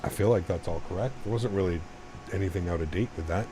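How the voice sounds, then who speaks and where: Deep-voiced man chatting on a live stream. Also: fast talker, mellow, a little hiss or hum, rough recording. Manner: talks straight to chat, explains himself at length with repeated restarts. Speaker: flight sim streamer. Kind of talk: casual live-stream chat.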